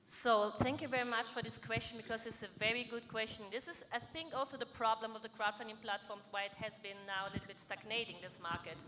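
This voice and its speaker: Bright-voiced woman on a conference panel. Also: a little high, steady tone, fast, hardly any pauses, soft background music. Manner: earnest, explanatory, with hand gestures. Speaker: crowdfunding platform panelist. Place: large conference hall on stage. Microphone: stand mic on a panel table. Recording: reverberant conference hall with faint background noise.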